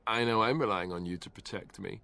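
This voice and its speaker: Low-voiced wry warrior. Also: male voice, a little fast, gravelly, pushed and bright. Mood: wry, assured. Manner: dry, sardonic. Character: wry, steady young warrior, reassuring comrade.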